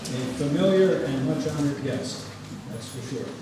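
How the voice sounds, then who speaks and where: A man acting as master of ceremonies, announcing formally but haltingly in a hall. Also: fairly high pitch. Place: large echoey indoor hall. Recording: very echoey hall, distant mic, loud noise.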